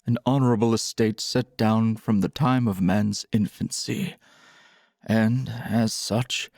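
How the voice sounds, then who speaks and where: A man plays a stern wedding priest in an audio drama. His delivery is slow and formal, with a widely swinging, ceremonial pitch and a dry sound. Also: gravelly, darkish tone, no room sound, exaggerated voice.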